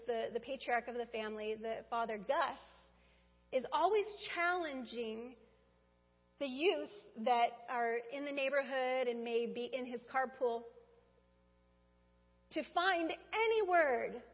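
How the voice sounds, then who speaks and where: A woman preaching a Mother's Day sermon in a church, talking conversationally and speaking straight to the congregation. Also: high voice. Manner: conversational, addresses congregation directly. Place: church sanctuary. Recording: dull sound with long hall reverb.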